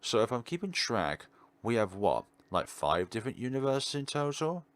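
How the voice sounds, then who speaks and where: A man giving casual playthrough commentary as a gaming video host, thinking aloud with questions and trailing pauses. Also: mid-range voice, big pitch swings, a bit bright. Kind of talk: casual playthrough commentary, partly reading on-screen text aloud.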